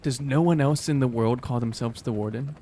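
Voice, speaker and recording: A man's voice: mid-range voice, fairly quick, mellow. Male tabletop player, average register, quippy conversational improvising, slight background noise. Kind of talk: casual, joking table talk.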